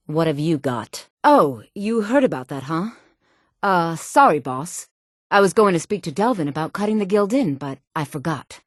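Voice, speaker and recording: A woman's voice: low voice, no echo, studio quality. An actress playing a tough, brash female thieves guild racketeer in a fantasy video game, sultry and streetwise, sly and dismissive. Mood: nervous, placating. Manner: streetwise, casual, cocky.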